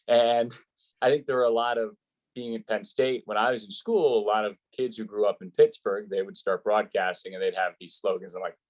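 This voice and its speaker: An American man with a fairly low-pitched voice speaks as a sports announcer being interviewed. He gives advice in a conversational way, explaining his points with examples and questions he poses himself. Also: fairly even intonation, fairly quick, low-quality recording.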